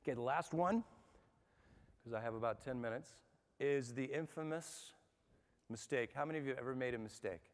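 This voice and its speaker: A man giving a lively workshop talk to a live audience, asking them questions and talking in a conversational way. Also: mid-range voice, a little fast, pauses often. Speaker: speaker at a management workshop. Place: large hall with an audience. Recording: long hall reverb.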